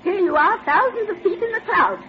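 A woman's voice: very high voice, animated, brisk. An actress playing a feisty, plainspoken old American pioneer woman with a homespun manner in an old-time radio drama. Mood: warmly firm. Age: older. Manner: homespun, spirited. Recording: muffled old radio, droning noise behind.

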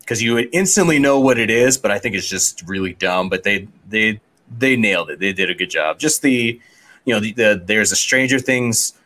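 A man co-hosting a podcast in casual chat, with a loose, halting delivery that often repeats words. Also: on the low side, pushed and bright. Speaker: podcast co-host. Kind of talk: casual chat, informal pop culture news rundown.

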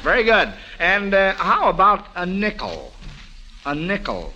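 A man hosts an old radio quiz show for kids in a bright, fairly high voice. He is encouraging and feels his way through phrases, with faint noise from the vintage broadcast behind him. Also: huge pitch swings, a little slow, highs cut off. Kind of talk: old-time radio quiz hosting, addressing children.

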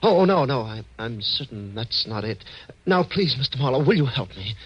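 A man's voice: medium pitch, big pitch swings, normal speed, breathy edge. An actor playing an anxious, mild-mannered man in a 1940s radio drama, hesitant and stammering. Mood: agitated, pleading. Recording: muffled, noisy old radio recording.

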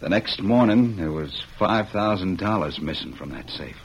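An actor playing a wronged young cowboy ex-convict in a vintage radio western, speaking plainly and earnestly. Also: male voice, deep voice, expressive, moderate pace. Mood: bitter, earnest. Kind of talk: 1940s-50s radio western acting. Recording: muffled, hissy old radio recording.

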